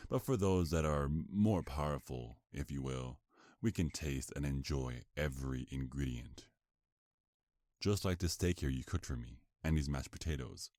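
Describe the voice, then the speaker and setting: A man playing a sinister, condescending vampire mafia boss in an ASMR roleplay, speaking in a formal, menacing, drawn-out way. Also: extremely low pitch, darkish tone, no echo, clean studio sound. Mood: smug, explanatory.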